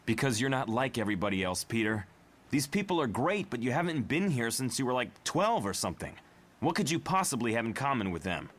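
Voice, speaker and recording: An actor playing an earnest, reproachful young superhero team leader in a motion comic, talking colloquially. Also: male voice, mid-range voice, lively intonation, a little fast, natural voice.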